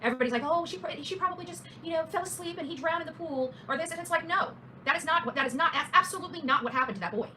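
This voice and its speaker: A woman's voice: extremely high pitch, racing, few pauses, bright, forceful tone, a little hiss or hum. A woman livestreaming casual true-crime commentary in a blunt, opinionated, emphatic way, as the host addressing her viewers.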